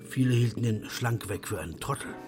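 A man performing as a dry, deliberate storyteller in a literary radio play, pointed and gravelly in delivery. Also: fairly low pitch, music in the background, lightly acted. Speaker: radio drama narrator.

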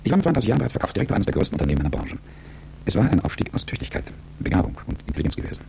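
A low, quick-spoken man in a radio drama, a calculating and world-weary executive looking back on his life. His telling is articulate and reflective, with a gravelly edge. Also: big pitch swings, mellow, a little hiss or hum. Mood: reflective, sober. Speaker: narrator and lead character. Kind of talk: radio drama first-person narration.

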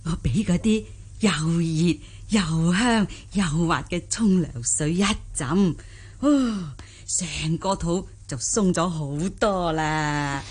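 A woman's voice: on the low side, slow, darkish tone, slightly rough. A woman playing a jolly, garrulous old peasant granny in a Cantonese radio drama, folksy and full of exclamations, with a Hong Kong accent. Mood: jolly, relieved. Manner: folksy, exclaiming, chuckling, self-deprecating.

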